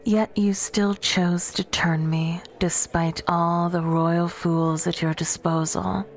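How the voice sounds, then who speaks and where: Woman acting a low, whispery, haughty vampire warrior. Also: little pitch movement, slow pace. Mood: bitter, contemptuous. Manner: haughty, cutting, formal phrasing. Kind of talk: scripted ASMR audio roleplay.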